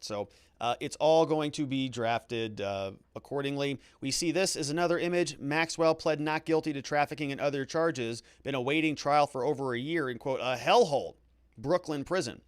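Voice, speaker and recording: A man's voice: medium pitch, dry recording. A man hosting a livestream of legal commentary, thinking aloud conversationally with hedges and repeated words for emphasis.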